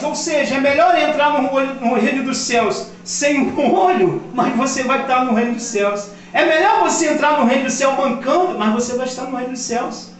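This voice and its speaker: Man, 50s, pastor from Brazil, impassioned sermon, distant mic, very echoey hall. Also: very high-pitched. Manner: impassioned preaching, rising loud on key points. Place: church. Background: congregation responding.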